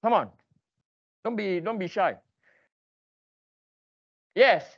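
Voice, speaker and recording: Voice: mid-range voice, animated, slow pace, lo-fi sound. An engineering lecturer teaching interactively in a classroom, quizzing students with emphatic, repeated questions and prodding them for answers.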